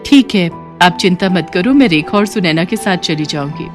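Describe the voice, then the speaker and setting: A woman voicing a shy, humble young tailor's daughter in an animated story, speaking in a timid, self-doubting way. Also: lowish voice, moderate pace, soft background music, lightly acted.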